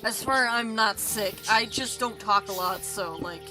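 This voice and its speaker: A woman streamer with a rough voice, chatting casually and rambling while she plays, swearing now and then, into a desk microphone. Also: mid-range voice, a little slow, rough recording. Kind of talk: casual live stream chat while gaming. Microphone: desk microphone with mute button. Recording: music playing under the voice. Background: background music.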